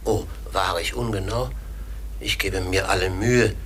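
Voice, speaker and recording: A man playing an enigmatic, courteous talking raven in a radio play, speaking in a measured, pensive and formally polite manner. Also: deep voice, fairly even intonation, fairly bright tone, slight background noise. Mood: patient, musing.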